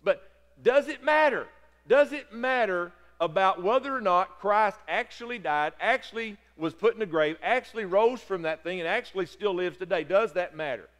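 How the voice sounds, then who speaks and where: A middle-aged man, preaching loudly and excitedly from a church pulpit, often stumbling over his words. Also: high-pitched, moderate pace. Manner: loud, excitable preaching with repeated stammers. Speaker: pastor. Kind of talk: sermon. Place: large church sanctuary. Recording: long reverb of a church hall.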